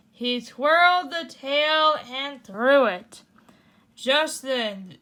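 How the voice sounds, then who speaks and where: Slow, bright-voiced woman reading a bedtime story. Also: sits high. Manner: slow, animated, comments between passages. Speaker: storyteller host.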